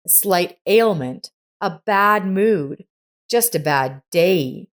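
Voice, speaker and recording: Slow, measured woman storyteller. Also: lowish voice, lively intonation. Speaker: narrator. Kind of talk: scripted story narration.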